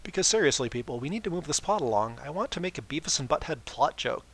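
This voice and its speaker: Wry man narrating, fairly high voice. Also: sing-song, fast talker, breathy edge. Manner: wry, informal. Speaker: fan fiction reader. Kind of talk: dramatic storybook narration with casual asides.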